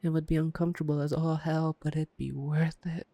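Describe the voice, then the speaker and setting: Man playing a gravelly, teasing predatory vampire. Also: fairly high pitch, level tone, fairly quick, no echo. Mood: amused, musing. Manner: teasing, drawling, softly menacing. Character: taunting, playful, predatory male vampire. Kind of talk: intimate ASMR roleplay monologue.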